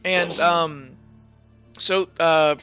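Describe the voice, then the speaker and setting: A man working as game master, casually recapping a tabletop game in a halting way, stumbling over his words. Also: a little high, fairly even intonation, bright, forceful tone, faint music behind.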